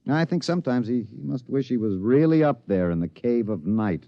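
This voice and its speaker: Worked-up american radio reporter, urgent and stammery, old 50s drama, dry dull tape sound. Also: male voice, mid-range voice, expressive, a little fast, creaky, dry recording. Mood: bitter, wistful. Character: excited radio newsman, agitated, obsessed with breaking a cover-up. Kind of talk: 1950s radio drama acting. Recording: dull vintage radio recording.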